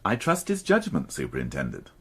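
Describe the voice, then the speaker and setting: A man's voice: a bass voice, huge pitch swings, fairly quick, fairly bright tone, slightly rough, exaggerated voice. An actor playing an irritable, sardonic male police inspector in a radio crime drama. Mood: defensive. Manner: curt, exasperated, sardonic.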